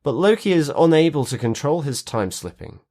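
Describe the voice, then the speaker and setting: A man's voice: on the high side, very animated, a little fast, dark tone. Male podcast host reviewing television. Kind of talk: scripted recap, then casual review chat.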